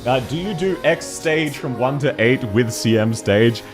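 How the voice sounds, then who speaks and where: Aussie guy streaming games, talks super fast, bouncy voice, chuckles constantly, bit of music quietly behind. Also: mid-range voice. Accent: Australian, unusual variety. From Australia. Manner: fast-talking, laughs often, animated. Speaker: game streamer. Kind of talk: casual live-stream chat, reading chat aloud while playing.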